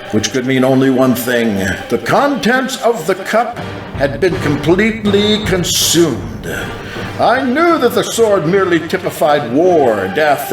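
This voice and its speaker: A man giving a solemn, dramatic first-person scripted narration of a religious vision. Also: medium pitch. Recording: echoing, clear music behind. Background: music.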